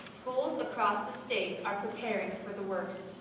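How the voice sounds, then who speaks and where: A woman's voice: mid-range voice, unhurried, close to an everyday voice. A woman playing a formal news anchor reading bulletins in a stage play. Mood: formal, serious. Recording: echoey hall from far off, noisy.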